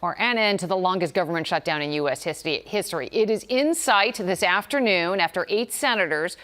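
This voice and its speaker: An American woman news anchor with a fairly low voice. She delivers scripted news narration in a studio bulletin style. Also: lively intonation, brisk, fairly bright tone.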